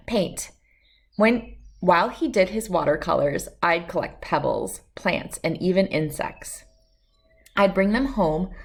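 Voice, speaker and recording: A woman reading a children's picture book aloud as host, telling it expressively in the first person. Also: fairly low pitch. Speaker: storybook read-aloud host.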